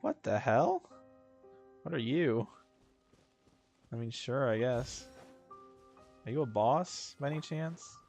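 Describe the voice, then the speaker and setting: A man doing casual, informal gameplay commentary on a livestream, thinking out loud and swearing freely. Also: mid-range voice, lively intonation, slow, pauses often. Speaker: game streamer. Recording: game music behind the voice. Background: video game music.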